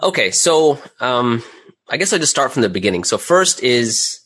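A man's voice: mid-range voice, very animated, normal speed, a bit bright, husky. A man speaking casually as an interview guest, explaining things step by step and checking in with the listener as he goes. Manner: conversational, step-by-step explaining with rhetorical "right?" check-ins. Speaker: interview guest, audio drama creator and voice actor.

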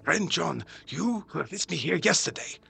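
A man's voice: on the high side, expressive, normal speed, vocal fry, sharp tone, soft background music, full character voice. A man playing a grave, insistent older professor and vampire hunter in an audio drama, speaking solemnly and emphatically in formal, stilted phrases.

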